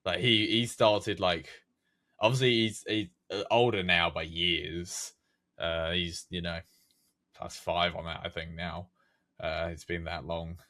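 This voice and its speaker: A young man of nineteen speaks in a deep, low voice as a podcast guest. He chats casually and wanders through his thoughts, with lots of fillers and restarts. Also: level tone, unhurried, pushed and bright. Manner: rambling, many fillers and restarts. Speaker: podcast guest, game map creator. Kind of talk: casual chat.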